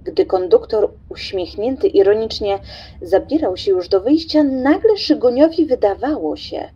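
Female narrator for audio drama: husky, theatrical, deliberate, with widely swinging intonation. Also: fairly high pitch, lively intonation, dark tone, lo-fi sound. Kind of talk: dramatic scripted literary narration.

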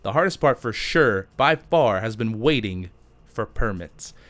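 A man's voice: on the low side, animated, unhurried, fairly bright tone. A man giving casual gameplay commentary, thinking aloud as he chats and answers questions. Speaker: gaming YouTuber.

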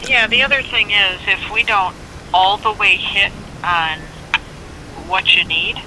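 An American government records officer explaining things informally and haltingly over a phone speaker, in a helpful way. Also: mid-range voice. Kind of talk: casual explanatory phone conversation. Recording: noisy speakerphone call picked up outdoors.

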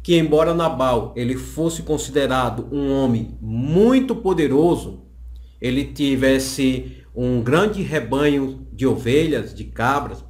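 A man's voice: medium pitch, animated, slow, a little mellow. A Brazilian man in his thirties explaining a Bible lesson in a didactic way, repeating phrases for emphasis. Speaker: Bible teacher. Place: small indoor office. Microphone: condenser microphone on the desk. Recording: roomy, echoing small office.

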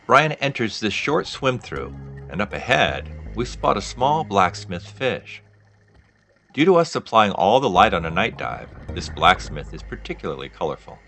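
A man reading scripted nature documentary narration as a diver, in an explanatory manner with measured sentences. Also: lowish voice, very animated, vocal fry, pushed and bright, faint music behind.

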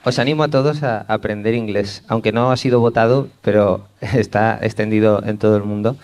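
Fast, low-voiced man from Spain. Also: steady tone, darkish tone. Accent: Spain Spanish. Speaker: interpreter. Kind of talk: consecutive interpreting to an audience.

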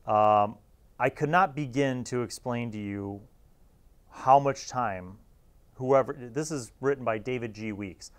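A man's voice: mid-range voice, a little slow, smooth. A man in his forties talking casually and wryly to camera as a host reviewing things, on a lapel mic in a small studio. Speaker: host reviewing old gaming magazines. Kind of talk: casual, informal commentary to camera.

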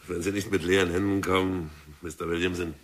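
Stern bank director, deep husky voice, fretful, old radio play. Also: male voice, flat delivery, brisk. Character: worried male bank director, middle-aged. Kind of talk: 1960s radio drama acting.

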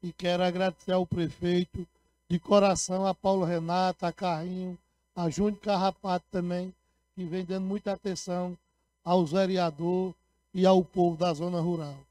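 A middle-aged man giving a council floor speech into a handheld microphone, sending repetitive shout-outs to rural communities while gesturing widely, with a sore throat. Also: high-pitched, flat delivery, moderate pace. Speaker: city councilman. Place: municipal council chamber, indoors.

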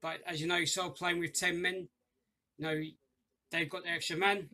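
Man, 30s, fan-channel host; high, bright voice; rambling webcam review full of fillers. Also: level tone, normal speed. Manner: rambling, many fillers and restarts; addresses viewers as ladies and gents. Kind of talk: after-match review monologue. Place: small room at home, indoors.

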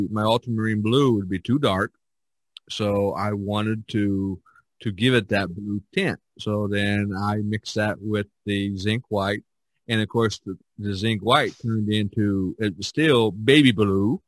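Lower-pitched man chatting haltingly. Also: fairly even intonation, dry recording. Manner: halting, repeats words. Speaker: podcast host. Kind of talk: casual chat.

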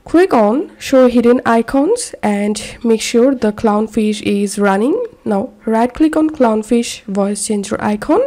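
A woman presents a scripted how-to tutorial as a voice-over. Her voice sits fairly high yet sounds soft-edged and mellow. She takes each instruction slowly, one step after another. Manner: measured, step-by-step instructional delivery. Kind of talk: scripted software tutorial narration. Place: voice-over for a screen recording.

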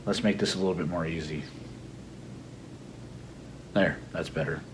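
A man's voice: sits low, fairly even intonation, normal speed, noisy background. A middle-aged man giving a casual, explanatory English idiom lesson with a teasing streak. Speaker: English teacher and video host. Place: small home room at a computer desk.

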